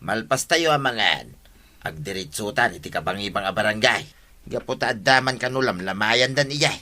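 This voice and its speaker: A practical village husband from an Ilocano radio comedy. He talks firmly, with a bright, forceful edge to his voice. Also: lowish voice, animated, moderate pace. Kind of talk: Philippine radio comedy-drama acting.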